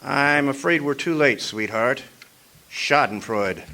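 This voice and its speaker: An actor playing a sardonic, hardboiled private eye narrating a radio detective parody. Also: male voice, mid-range voice, slow, sharp tone, a little hiss or hum. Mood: wry.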